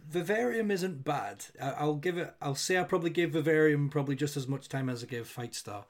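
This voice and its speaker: A man's voice: a little high, brisk. A man hosting a podcast, asking questions casually and searching for his words. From Scotland. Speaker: podcast host.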